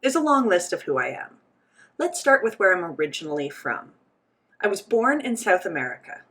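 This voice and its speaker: A woman voicing the interpretation of a signed interview, speaking for both host and guest in a measured first-person delivery. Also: medium pitch, sing-song, fairly bright tone, slightly rough.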